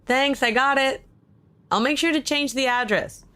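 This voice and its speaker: An actress playing a supportive, practical young best friend in an audio drama, with a reassuring, casual way of talking. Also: female voice, high-pitched, moderate pace, bright, forceful tone, slight background noise. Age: young adult.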